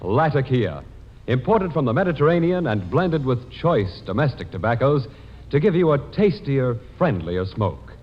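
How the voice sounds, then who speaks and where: An American man delivers a 1940s radio commercial like a polished, persuasive announcer, with very lively intonation. His voice rings in a long reverb on a dull vintage recording. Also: mid-range voice, normal speed. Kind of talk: 1940s radio advertising read. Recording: reverberant, band-limited, noisy 1940s radio.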